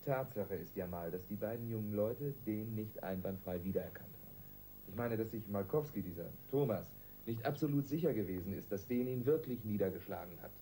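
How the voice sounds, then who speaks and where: Earnest, mellow young detective played by a man. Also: lowish voice, animated, brisk, a little hiss or hum, natural voice, low-quality recording. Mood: questioning, insistent. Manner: hesitant but persistent, earnest. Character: earnest, doubting young male detective, aged twenty-two. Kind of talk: German radio crime drama acting.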